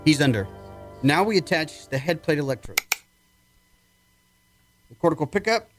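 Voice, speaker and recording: A man's voice: a little high, very animated, moderate pace, a bit bright, faint music behind. A man acting in an old-time radio drama as a probing, authoritative army psychiatrist with a clipped, commanding manner. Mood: commanding, intent.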